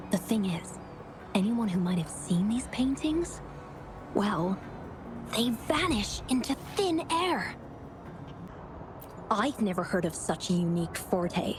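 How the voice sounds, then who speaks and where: An actress playing a folksy, brisk woman who oversees a mine, chatty and animated. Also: on the high side, noisy background. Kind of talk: game cutscene dialogue. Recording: game music underneath. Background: music.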